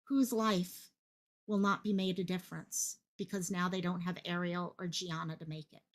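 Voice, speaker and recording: A woman's voice: fairly low pitch, little pitch movement, moderate pace. A woman hosting her own online channel, speaking with passion, repeating phrases for emphasis and projecting her voice the way a stage actor does. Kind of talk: impassioned livestream commentary.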